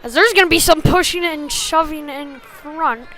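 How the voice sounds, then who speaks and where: A woman's voice: high voice, moderate pace, sharp tone. A woman giving informal live play-by-play of a youth ice hockey game in short, clipped, colloquial phrases. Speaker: play-by-play commentator. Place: sports venue, ice rink. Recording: amateur livestream with faint background noise.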